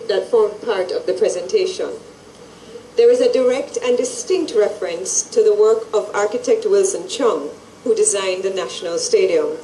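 A middle-aged woman reading a formal scripted presentation at an event in a measured way. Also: mid-range voice, warm tone, echoey room, distant mic, a little hiss or hum, rough recording. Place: large indoor event hall with stage and audience. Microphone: handheld microphones at a lectern, through PA.

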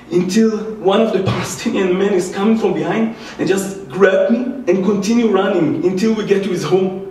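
A man with an Israeli accent and a fairly high voice tells a personal story to an audience. He is a native Hebrew speaker and acts out dialogue as he goes. The sound is very reverberant and picked up from far off in a church. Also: darkish tone. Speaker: activist speaker, former soldier. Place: large indoor church. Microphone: distant microphone. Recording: echoey church, distant mic, faint noise.

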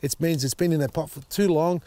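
A man, slightly husky as his voice recovers, chatting casually while demonstrating repotting outdoors in his garden. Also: a little high, fairly even intonation, quick pace, no echo. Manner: chatty, thinks out loud, many fillers. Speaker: gardening show host. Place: outdoor garden beside a tall hedge.

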